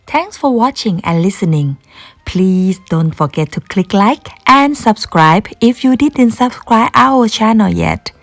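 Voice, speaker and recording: Slow, animated woman storyteller over music. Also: medium pitch, dry recording. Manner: slow, animated read-aloud delivery. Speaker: storyteller reading a children's book. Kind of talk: expressive bedtime story narration.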